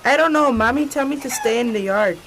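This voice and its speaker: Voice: mid-range voice, fairly quick, natural voice. A performer playing a sweet, obedient seven-year-old boy in a radio drama. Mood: hesitant. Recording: faint noise, rooster and hens clucking.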